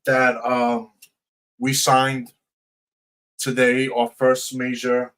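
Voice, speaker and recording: Even-toned man co-hosting live radio. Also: mid-range voice, extremely slow, clean tone, rough recording. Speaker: radio co-host and DJ. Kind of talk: casual live radio talk and station promotion.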